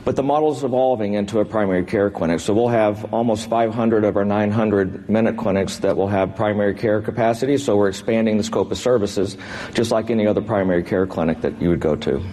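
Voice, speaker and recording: A man in his sixties with an American accent speaks in a low, soft-edged, dark voice. He answers interview questions in measured, halting phrases, and the sound rings with the long reverb of a big room. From Texas, United States. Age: 60s. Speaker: interviewed business executive. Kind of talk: unscripted on-stage interview answers. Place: large indoor event room before an audience.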